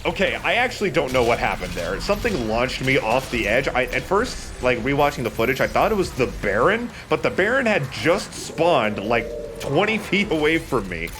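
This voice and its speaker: Animated man casually commentating on a game. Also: medium pitch, normal speed, hardly any pauses, noisy background. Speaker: let's play host and player.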